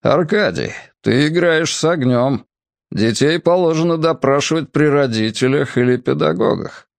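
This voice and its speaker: A man doing a wheezy, calm old man. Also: fairly high pitch, creaky, no room sound, voice pushed up. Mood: dry calm. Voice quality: wheezy, strained. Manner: slow, unhurried. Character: hoarse heavy old man, calm and wry.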